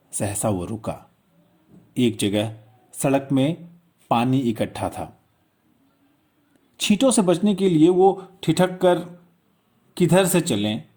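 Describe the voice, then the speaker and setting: A male audiobook narrator reads a literary story at an unhurried pace. His pitch moves widely from phrase to phrase, and he shapes each line with measured, dramatic pauses. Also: dark tone, breathy edge.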